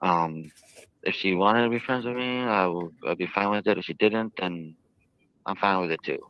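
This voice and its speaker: A man giving interview answers in a halting way, with drawn-out phrasing. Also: low voice, clear, resonant tone, lo-fi sound. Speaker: jail inmate interviewed by a reporter.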